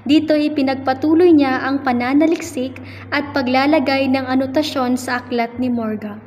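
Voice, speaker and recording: A woman's voice: high-pitched, steady tone, normal speed, darkish tone, natural voice. A woman with a Filipino accent reading scripted third-person narration for a student radio drama. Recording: reverberant hall, faint background noise.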